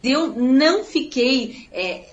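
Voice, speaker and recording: Woman, author in an interview, earnest motivational talk, bit high voice, roomy echo. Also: lively intonation, takes it slowly, dull audio. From Paraná, Brazil. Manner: earnest, motivational, repeats phrases. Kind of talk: interview answers. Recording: echoey room.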